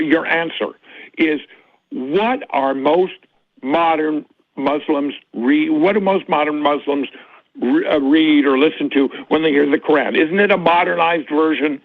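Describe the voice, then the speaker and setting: Guy calling in over a thin phone line, kinda high pitched, asks stuff slowly. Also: dry recording. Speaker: caller. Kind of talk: phone-in question. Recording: tinny dry phone line.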